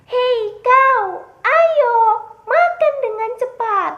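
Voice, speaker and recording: A woman voicing a bossy rabbit friend in a children's story, calling out excited, urgent commands. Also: very high-pitched, extremely slow, highs cut off, pitched above the performer's own voice, exaggerated voice. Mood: urgent, impatient.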